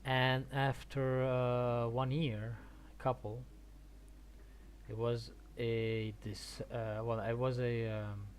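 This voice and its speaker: Halting male game streamer, faint background noise. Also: mid-range voice, flat delivery. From Argentina. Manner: halting, repeats words, thinks aloud. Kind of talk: casual rambling stream chat about games.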